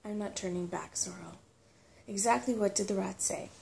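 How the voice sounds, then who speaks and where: A woman voicing a gentle, resolute male dragon in a storybook, earnest and questioning. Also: mid-range voice, normal speed, creaky, slight background noise, close to an everyday voice. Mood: eager.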